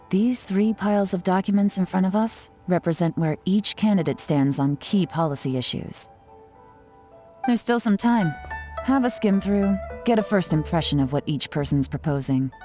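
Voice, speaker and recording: A woman's voice: fairly low pitch, animated, normal speed, a little mellow, lightly acted. A woman playing a confident, sly woman in charge in a video game, cool and self-assured. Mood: businesslike. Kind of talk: video game character acting. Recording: game music playing behind.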